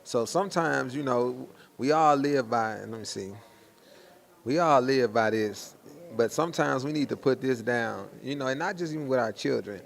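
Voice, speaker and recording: Male host, mid-range gravelly voice, casual street-slang promo interviews. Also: mid-range voice, normal speed. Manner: hype, informal, slangy.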